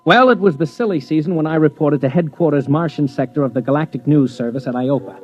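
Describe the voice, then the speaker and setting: Man playing a brash, high-pitched American radio-drama reporter. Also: fast, a little mellow, close to an everyday voice. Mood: wry narrating. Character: brash, persistent young male wire-service reporter. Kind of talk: 1950s radio drama acting and first-person narration. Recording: dull old radio recording, faint music.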